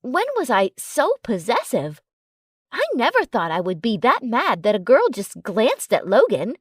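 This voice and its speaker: A woman's voice: fairly high pitch, huge pitch swings, unhurried, slightly rough, no room sound, studio quality. A woman reading scripted first-person fiction as an audiobook narrator.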